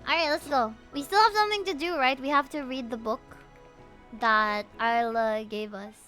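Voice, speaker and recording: A young woman from the Philippines live-streaming a game, reading dialogue aloud and chatting in a playful, expressive way. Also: sits high. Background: faint game music.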